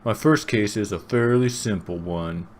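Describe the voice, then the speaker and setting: A man voicing an earnest, thoughtful young defense attorney from a video game, reading his lines in a measured, deliberate way. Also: on the low side, slight background noise, natural voice. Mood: explanatory. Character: earnest rookie male defense attorney, plain-spoken, thoughtful.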